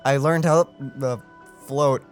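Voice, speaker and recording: A woman's voice: rumbling low, steady tone, slow pace, soft background music. A woman streaming a game and tossing in dry, joking, casual reactions. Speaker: gaming streamer.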